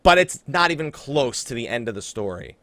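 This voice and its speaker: A man's voice: medium pitch, very animated, fairly quick, pushed and bright, no room sound. A man hosting a casual gaming podcast, talking emphatically and straight to the listeners to hype things up. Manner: emphatic, hyping, talks directly to listeners. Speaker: podcast host.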